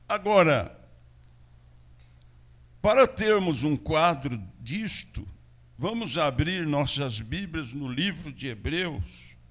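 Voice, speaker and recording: A Brazilian pastor with a São Paulo interior accent delivers a church sermon at an unhurried, deliberate pace. His voice sits a little high for a man. He swings between ringing declarations and hushed asides. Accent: Brazilian Portuguese, São Paulo interior. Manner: preaching with swings between loud proclamation and quiet asides.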